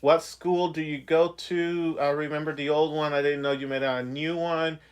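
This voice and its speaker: A man's voice: fairly high pitch, little pitch movement, normal speed, smooth. A young man from Puerto Rico, a puppeteer, chatting casually on a phone livestream with many fillers. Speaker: puppeteer vlogger. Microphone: phone on a ring light.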